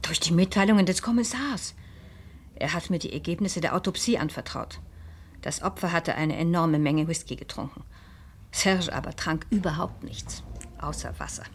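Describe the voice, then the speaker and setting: An actress in a 1970s German radio play, playing a composed, determined lady sleuth who narrates and speaks in a measured, deliberate way. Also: fairly low pitch, slight background noise, lightly acted. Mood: confiding, intent.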